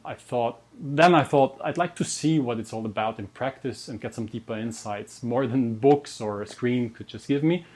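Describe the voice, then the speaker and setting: A man's voice: medium pitch, a little mellow. A young adult man with an Austrian German accent, speaking English as a second language as he introduces his interview series in an earnest, self-deprecating way, often restarting words. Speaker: host and researcher. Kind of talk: scripted personal introduction to camera.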